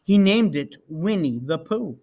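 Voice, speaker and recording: A man reading a children's picture book aloud expressively, with deliberate, dramatic emphasis on key words. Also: a little high, expressive, warm tone. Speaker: storybook reader.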